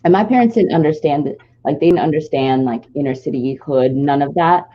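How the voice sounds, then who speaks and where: A woman with a notably low, deep voice tells her story in a casual podcast chat. She is earnest and reflective, letting long thoughts run on. The recording is dull and muffled. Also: brisk. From Nigeria. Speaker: podcast co-host.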